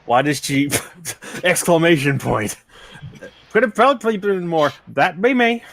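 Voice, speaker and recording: A man's voice: on the high side, lively intonation, unhurried, gravelly, a bit bright, no room sound. A man in casual conversation, commenting in a hesitant, rambling way as a guest. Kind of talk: casual chat.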